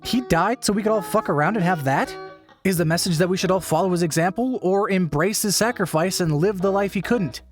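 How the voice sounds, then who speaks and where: Guy playing skeptical young dude musing bluntly, chatty audio drama, highish voice, guitar behind. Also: brisk, close to an everyday voice. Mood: rising frustration. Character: young man friend, skeptical, questioning, increasingly angry. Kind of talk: casual monologue in audio fiction. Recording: guitar music under the voice.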